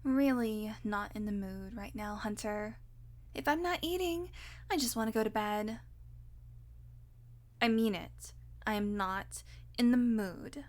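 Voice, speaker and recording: A woman acts out a female vampire with a distinctly high voice. She is irritable and hungry, swearing freely and needling with sarcastic pet names. The delivery is intimate one-sided audio roleplay dialogue. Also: animated, measured pace, long pauses. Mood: tired, irritable. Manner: sardonic, foul-mouthed, teasing with pet names.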